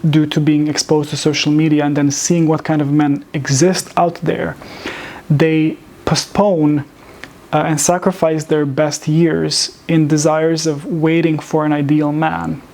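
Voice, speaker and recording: Man from serbia giving a talk, bit high voice, gravelly, lots of restarts. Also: a little mellow. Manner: measured, with frequent restarts and fillers. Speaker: public speaker. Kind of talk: lecture presentation.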